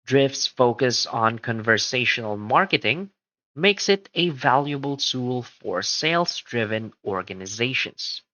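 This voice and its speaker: Guy doing a tutorial voiceover, bright crisp tone, takes it slow phrase by phrase, super dry recording. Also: mid-range voice, no room sound, low-quality recording. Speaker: presenter of a software comparison tutorial. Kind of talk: scripted explainer narration.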